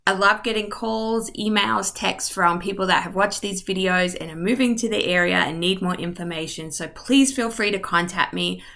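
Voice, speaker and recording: A woman's voice: medium pitch, moderate pace, creaky, few pauses, bright, forceful tone. A woman real estate agent narrating a map tour in a friendly, informal way, a little short of voice. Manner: friendly, informal, with ums and asides. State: losing her voice. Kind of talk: map tour narration over screen share.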